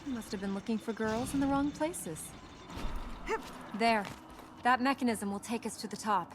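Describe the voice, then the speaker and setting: An actress playing a serious, determined young princess in a video game, earnest and dryly sharp-tongued. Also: female voice, on the high side, normal speed, noisy background, lightly acted. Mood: matter-of-fact. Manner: earnest, dry, blunt.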